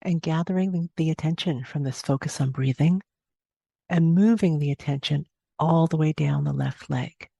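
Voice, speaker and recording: Woman doing a guided body scan, mellow soft-edged tone, bit of creak in the voice, gentle n unhurried, lots of pauses. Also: deep voice, no room sound. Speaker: meditation teacher.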